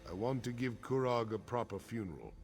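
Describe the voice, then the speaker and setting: An actor playing a grave, honorable middle-aged orc warrior chief in a video game, speaking in a solemn, measured, frank way. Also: male voice, medium pitch, animated, natural voice. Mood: solemn, earnest. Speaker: quest-giving game character. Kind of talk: scripted video game dialogue. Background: game music.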